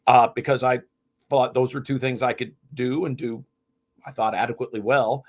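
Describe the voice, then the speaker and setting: A man's voice: mid-range voice, steady tone, moderate pace. A man from Vermont, a guest in a casual interview, telling his story earnestly with many fillers. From Vermont, USA. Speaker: guest, equipment manager.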